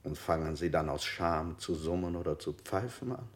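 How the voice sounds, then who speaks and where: An actor playing a male interrogator in a radio play, slowly posing falsely sympathetic questions with a gravelly voice. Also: low voice, close to an everyday voice. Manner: slow, ingratiating questioning. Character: gently probing male interrogator, insidiously sympathetic.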